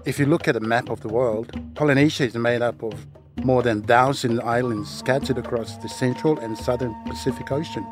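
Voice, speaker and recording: Samoan man, native Samoan speaker, podcast guest, mid-pitch, steady explainer. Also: fairly quick, over music.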